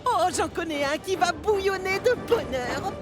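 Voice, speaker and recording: A woman's voice: very high voice, animated, unhurried, over music, crowd noise. A woman voicing a bubbly, eager young male tank engine in a children's cartoon, exclaiming with enthusiasm. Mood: excited.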